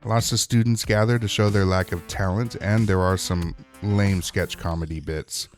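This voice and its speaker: Male recap host, lowish voice, wry and colloquial, casual narration over faint music. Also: steady tone, normal speed. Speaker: host of a TV episode recap show.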